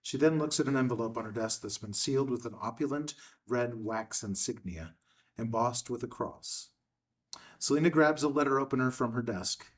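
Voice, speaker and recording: A man's voice: medium pitch, normal speed. A man reading a film script's stage directions as narrator, in a matter-of-fact way.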